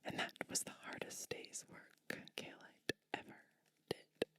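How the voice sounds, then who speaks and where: A woman whispering ASMR storytelling and bedtime roleplay in a gentle, soothing manner. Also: unhurried, no room sound. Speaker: ASMR host playing a sleep witch.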